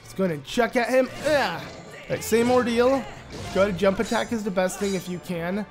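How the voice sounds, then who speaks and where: A hoarse man talks his way through a video game in a loose, rambling way, full of "like" and "uh." His sore throat leaves his voice raspy and prone to cracking, pitched a little high for a man. Faint music plays underneath. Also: fairly quick. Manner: rambling, lots of "like" and "uh". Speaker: Let's Play commentator. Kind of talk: casual gameplay commentary.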